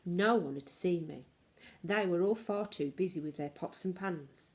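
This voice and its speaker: A woman reading a children's story aloud like a teacher, in expressive narration with dramatic, varied emphasis. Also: on the low side, unhurried.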